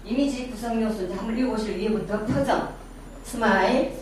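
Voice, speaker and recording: A woman's voice: fairly high pitch, brisk, darkish tone. A middle-aged woman with a Daegu Gyeongsang accent gives a casual classroom lecture on personal image. She quizzes her audience and adds self-deprecating asides. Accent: Daegu Gyeongsang dialect. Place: lecture room with a small audience. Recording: very echoey room, distant mic, background noise.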